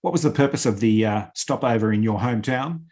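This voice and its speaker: A man's voice: lowish voice, a little fast, a little mellow. A man working as an interviewer, putting prepared questions in a formal, carefully phrased way.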